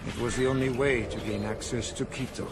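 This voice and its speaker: An actor in a video game playing a confident, learned scientist of the eighteenth century. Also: male voice, mid-range voice, fairly even intonation, brisk, vocal fry, noisy background, close to an everyday voice. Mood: assured. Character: confident, learned male expedition scientist of the 1700s. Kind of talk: dramatic video game acting.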